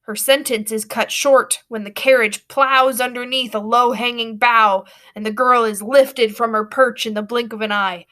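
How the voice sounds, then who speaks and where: Bright, higher-voiced woman reading a story. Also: moderate pace, hardly any pauses. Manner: expressive, dramatic reading. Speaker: teacher reading aloud. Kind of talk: storybook narration read aloud.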